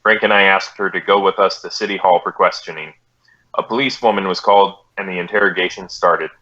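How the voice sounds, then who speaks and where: A man playing a polite, by-the-book police detective with a deadpan, clipped delivery in a radio drama. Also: low voice, quick pace, dull audio. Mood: stoic, firm. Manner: deadpan, clipped, matter-of-fact.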